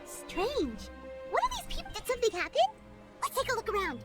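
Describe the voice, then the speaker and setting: A tiny squeaky fairy girl, super high, bouncy cartoon game voice, eager. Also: huge pitch swings, fairly quick, over music, full character voice. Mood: curious. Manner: childlike, refers to herself in the third person. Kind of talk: video game cartoon acting.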